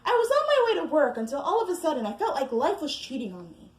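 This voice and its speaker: A woman acts out an indignant wronged husband in a comic story. The voice is high and emphatic, full of grievance. The room sounds echoey. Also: huge pitch swings, quick pace. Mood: aggrieved.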